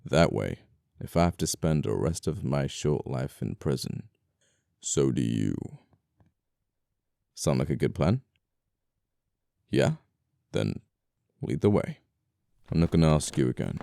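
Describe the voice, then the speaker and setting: A very low, gravelly male voice, an actor's, plays a gentleman killer in an audio roleplay. He is amoral yet apologetic and flirty, menacing his captive with unhurried, wry courtesy. The sound is dark and dry, close on the mic. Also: big pitch swings, dry recording, full character voice. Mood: calm threat.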